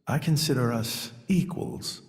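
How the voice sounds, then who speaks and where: An actor playing a serene, courteous religious leader in a video game, measured and formal, in a reverberant hall. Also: male voice, medium pitch, natural voice. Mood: calm, courteous. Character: serene, courteous male religious leader, youthful yet stately. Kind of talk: video game cutscene acting.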